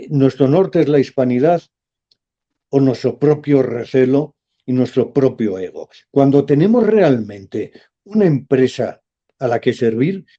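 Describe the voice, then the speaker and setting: A man, a professor speaking as a guest in a radio interview, measured and emphatic. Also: medium pitch, mellow, husky, muffled recording.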